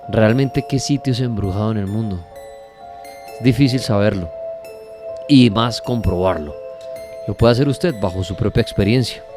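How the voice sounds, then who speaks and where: A man's voice: fairly low pitch, moderate pace, pauses often. A Colombian man hosting a live late-night radio call-in show about the paranormal. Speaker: radio host. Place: radio studio. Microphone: studio broadcast microphone. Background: faint music.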